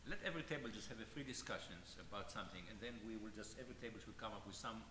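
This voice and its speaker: A man's voice: on the low side, very fast, sharp tone. A man in a conference audience bluntly proposing another way to run the discussion. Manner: direct, proposes alternatives. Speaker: audience participant. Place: large conference room. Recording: roomy echo and hall reverb with hiss.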